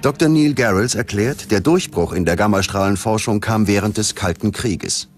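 A man reads scripted documentary narration in a measured, dramatic manner. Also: fairly low pitch, faint music behind.

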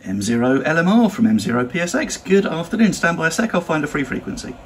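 A man's voice: on the high side, big pitch swings, fast talker, noisy background. A British man giving an instructional demonstration as an amateur radio operator and trainer. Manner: spells call signs in phonetic alphabet.

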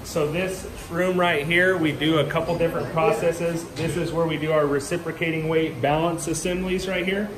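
A man with a fairly high voice walks a group through a big indoor machine shop, pointing things out and explaining each station as he goes. His voice bounces around the hard-walled room. Loud machine and air hum runs underneath him. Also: fairly even intonation, moderate pace, hardly any pauses, roomy sound. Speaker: shop tour guide. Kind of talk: walking shop tour explanation. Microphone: handheld camera from a few feet away. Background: machinery and ventilation hum.

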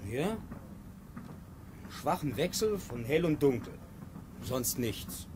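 An actor playing a bitter, scornful grandson in an early 1950s radio play. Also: male voice, medium pitch, lively intonation, slow pace. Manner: scornful, impatient. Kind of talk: 1950s radio drama acting. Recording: hissy old 1950s radio tape.

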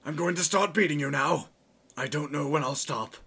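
A man voicing an arrogant, hot-tempered alien warrior villain in an anime parody, haughty, sneering and sarcastic, with a strained gravelly voice. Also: a little high, normal speed, pushed and bright, exaggerated voice. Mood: smug. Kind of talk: exaggerated anime parody dub acting.

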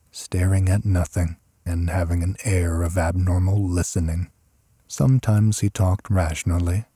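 A man reading a horror story as an audiobook narrator, measured and solemn in his delivery. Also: low-pitched, mellow, slightly rough, dry recording, studio quality.

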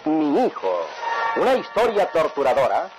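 A man's voice: fairly high pitch, huge pitch swings, normal speed, over music. A man narrating a radio soap opera as announcer, with dramatic scripted delivery. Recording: old noisy radio recording.

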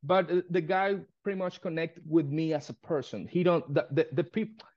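A man in his thirties with a Spanish accent, a limo business owner speaking in halting, non-native English as a guest on a video call from home. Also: on the high side, darkish tone, no echo. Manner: halting, repeats words, non-native grammar. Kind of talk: casual interview on a video call. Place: living room at home. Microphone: phone camera on a video call. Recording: dull, dry phone-call audio.

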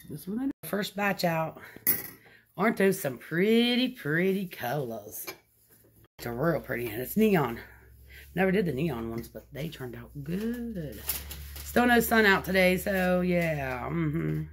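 A middle-aged woman with a twang and a low, gravelly voice that swings up and down a lot. She chats in a folksy way to her viewers while she works in a small home kitchen. The phone recording carries a clear hiss underneath. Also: very animated, slow. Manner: chatty, folksy, talks to viewers while cooking. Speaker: vlogger. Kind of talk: casual home vlog narration. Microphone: handheld phone camera.

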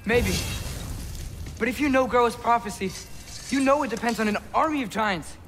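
A man's voice: extremely high pitch, normal speed, fairly bright tone, a little hiss or hum. A young male actor playing an earnest, impulsive teenage boy adventurer in a Norse fantasy video game, boyish and pleading. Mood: earnest, persuasive. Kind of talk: dramatic video game acting.

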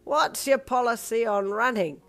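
A woman's voice: on the high side, unhurried, faint music behind, pitched above the performer's own voice. A woman voicing a drunk, blunt, cheerful older father who slurs his words. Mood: happy drunk. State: drunk, slurring.

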